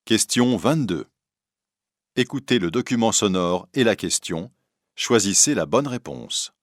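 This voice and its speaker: A man's voice: fairly low pitch, lively intonation, unhurried, no room sound, studio quality. A man announcing scripted instructions for a listening exam. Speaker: test announcer.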